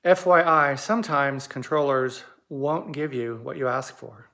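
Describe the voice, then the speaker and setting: A man giving explanatory commentary as a host, measured and pausing for emphasis. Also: mid-range voice, steady tone, very noisy.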